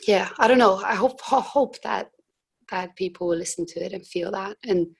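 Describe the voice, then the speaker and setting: A young woman with a husky voice, a musician guest chatting casually in an interview, hesitant with frequent restarts. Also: medium pitch, moderate pace.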